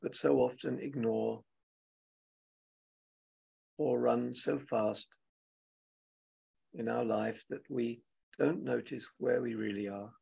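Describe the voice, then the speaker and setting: Slow, very gravelly man guiding meditation. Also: medium pitch, fairly even intonation. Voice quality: husky, gravelly. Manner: gentle, unhurried, with long pauses between phrases. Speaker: meditation teacher. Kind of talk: guided meditation, spoken softly and unscripted.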